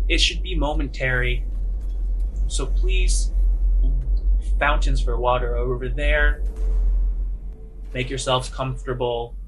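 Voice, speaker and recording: A man's voice: medium pitch, fairly bright tone, natural voice, rough recording. A man voicing a curt prison ship guard giving official, instructing announcements in a tabletop game.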